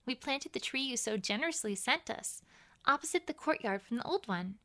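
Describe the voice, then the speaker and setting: A woman's voice: high-pitched, fairly bright tone. A woman voicing an earnest, bookish young female pony scholar in an emphatic, conversational letter reading for an audio drama.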